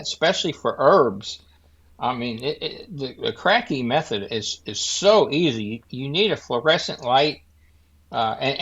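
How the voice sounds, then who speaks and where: A man's voice: mid-range voice, lo-fi sound. A man who is a podcast guest, talking casually and folksily, with many restarts as he explains. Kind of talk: casual chat.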